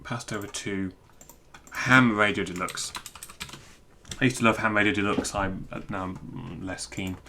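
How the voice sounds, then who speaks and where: A man giving an informal technical screencast walkthrough, thinking aloud in a halting, self-interrupting way. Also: on the low side, fairly even intonation, noisy background. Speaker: presenter explaining technical material.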